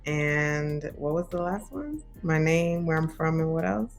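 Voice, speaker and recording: A woman in her thirties reflects in an interview, picking her words with many pauses. Her voice sits low and her pace is unhurried. Soft music plays faintly beneath her. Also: expressive, gravelly. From Brooklyn, by way of Saint Vincent. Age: 30s. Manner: thoughtful, with many pauses and fillers.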